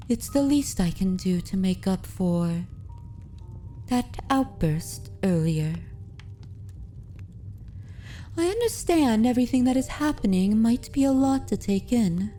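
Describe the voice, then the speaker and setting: A woman playing an aristocratic vampire mistress in an ASMR roleplay, predatory yet consoling, speaking formally and deliberately in a soothing way. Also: fairly high pitch, dark tone. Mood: soothing, reassuring. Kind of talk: ASMR roleplay, scripted dramatic monologue.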